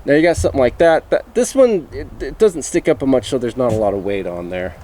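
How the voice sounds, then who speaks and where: A man's voice: mid-range voice, lively intonation, fairly quick, warm tone. A man narrating a casual, unscripted hobby vlog in a folksy, self-deprecating way, recorded on a camera mic. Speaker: vlogger presenting a hobby equipment test. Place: outdoors and around a vehicle, handheld or mounted camera. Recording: clear wind and vehicle noise.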